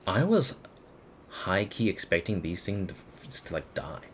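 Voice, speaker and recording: A man's voice: low-pitched, sing-song, measured pace, a little hiss or hum. A man doing casual live game stream commentary in a slangy, rambling way with stammered repeats.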